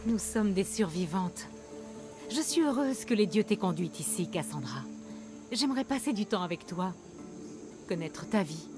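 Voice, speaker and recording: A woman's voice: mid-range voice, expressive, moderate pace, long pauses, over music, natural voice. An actress playing an embattled young female rebel leader in a dubbed video game, earnest and intense. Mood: tender.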